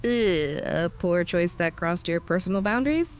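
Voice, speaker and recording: A woman's voice: on the low side, moderate pace, lo-fi sound, slight background noise, close to an everyday voice. A woman playing a chatty, scheming young wrestler and persuasive friend in a game cutscene, talking casually and expressively. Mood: mischievous. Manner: expressive, conversational.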